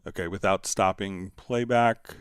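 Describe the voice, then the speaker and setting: A man hosting a live software tutorial in an informal, conversational way, thinking aloud as he demonstrates. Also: low-pitched, steady tone, measured pace, smooth, no room sound, studio quality. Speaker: livestream host and software instructor.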